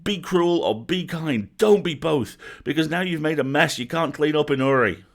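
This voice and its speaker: A man's voice: on the high side, animated, moderate pace, vocal fry, pitched above the performer's own voice. A man voicing a blunt, fierce, weary old grandmother in a storybook, scolding and curt. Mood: furious scolding.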